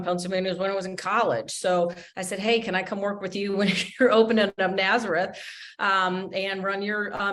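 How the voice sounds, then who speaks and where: A woman's voice: mid-range voice, fairly bright tone. A middle-aged woman from Michigan, a motorsports lawyer talking through her career on a panel in a rambling, chatty way. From Michigan, USA. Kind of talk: panel interview, recounting career.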